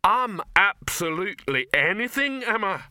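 Man acting a storybook troll, slow and rumbling, touchy, forceful delivery, dry recording. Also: big pitch swings, no room sound, exaggerated voice. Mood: slow, offended. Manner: ponderous, slow to digest things. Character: slow, easily offended male troll made of rock.

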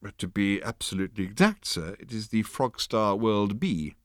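A man's voice: on the low side, animated, normal speed, dry recording, close to an everyday voice. Long-suffering little alien waiter for storybook reading, man acting: stiffly polite, hesitant, persistent, dry close sound. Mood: patiently insistent. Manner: formal, deferential, hesitant, persistent.

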